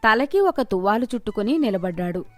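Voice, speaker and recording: A woman's voice: medium pitch, sing-song, a little fast, a little mellow, no room sound. A woman storyteller reading a scripted moral tale as narration.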